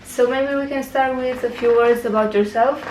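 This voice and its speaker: A woman's voice: on the high side, steady tone, moderate pace, a little mellow. Young Romanian-accented woman hosting an interview. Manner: friendly, frequent mm-hmms. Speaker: host, YouTuber. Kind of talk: casual interview. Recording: echoey room, faint background noise.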